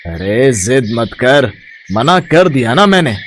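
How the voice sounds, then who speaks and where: A man playing a stingy, grumpy village headman, dismissive and insistent, in an animated story. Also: mid-range voice, slow, slight background noise. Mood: annoyed refusal.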